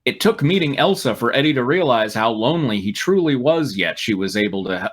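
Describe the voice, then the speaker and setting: A man with a mid-range voice reads a story aloud with lively swings in pitch. His dramatic narration gives way to dry, mocking quips. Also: fairly quick, slightly rough. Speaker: reader on a fan fiction comedy show.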